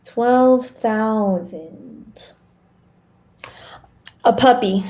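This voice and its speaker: A woman co-hosting a podcast in casual, playful group chat, animated and quick to laugh. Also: a little high. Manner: animated banter, laughs often. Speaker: podcast co-host.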